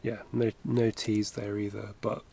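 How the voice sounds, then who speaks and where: Low gravelly guy, rambling on his headset while he draws, lots of asides. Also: little pitch movement, measured pace, mellow. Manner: rambling, self-correcting, chatty asides. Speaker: animation streamer. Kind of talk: casual livestream chat while drawing. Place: home studio, indoors.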